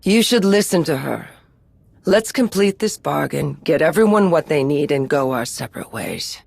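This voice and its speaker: Game casting: imperious, formal warrior, very low, creaky, clipped delivery. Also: female voice, animated, moderate pace, darkish tone, no echo, exaggerated voice. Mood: cool, formal. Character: cool, formidable female warrior, formal and commanding. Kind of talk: video game voice acting.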